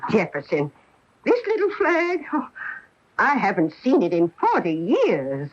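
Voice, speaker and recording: An actress playing an elderly former schoolteacher in a radio mystery drama, brisk, nostalgic and sure of her memory. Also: mid-range voice. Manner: brisk, expressive, reminiscing. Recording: dull lo-fi radio broadcast recording.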